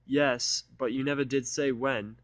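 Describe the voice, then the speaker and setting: A man's voice: mid-range voice, steady tone, a little slow, a bit bright, no room sound, natural voice. A man voicing a bookish young female pony, persistent and polite, in an earnest, coaxing manner. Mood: sly.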